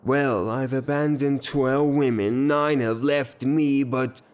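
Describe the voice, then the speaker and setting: An actor playing a hot-tempered, blustering rustic gentleman in a theatrical audio drama, declaiming his lines. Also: mid-range voice, fairly even intonation, slow, smooth, close to an everyday voice. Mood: smitten, admiring.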